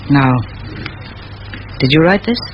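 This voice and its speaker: Casting: forthright spinster teacher, low for a woman, crisp and dry-witted, old radio drama through clear hiss. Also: lively intonation, slow. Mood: brisk, questioning. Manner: crisp, commanding, dryly ironic. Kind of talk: vintage radio play acting. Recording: muffled old radio recording, loud hiss.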